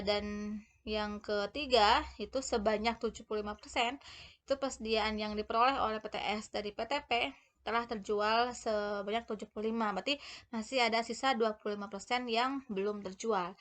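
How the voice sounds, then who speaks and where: Higher-pitched woman explaining, halting. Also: a bit bright. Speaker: accounting lecturer. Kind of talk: explanatory lecture walking through worked examples.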